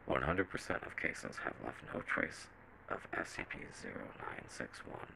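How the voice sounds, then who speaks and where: An extremely low, creaky voice with a forceful edge reads out a classified horror file , acted by a man. The delivery is clinical, measured and deliberate, like a scripted audio log, with faint noise on the recording. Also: expressive, exaggerated voice, poor audio. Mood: clinical, grave. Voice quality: very deep, gravelly. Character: SCP Foundation document reader and shaken field soldier.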